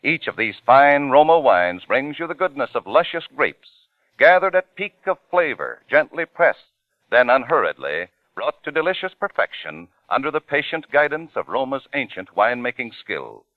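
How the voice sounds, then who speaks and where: An American man announces a vintage radio ad. He is polished, measured and emphatic, with very animated pitch movement. The old recording is narrow and dry. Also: no room sound. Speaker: commercial announcer. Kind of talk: 1940s radio ad read.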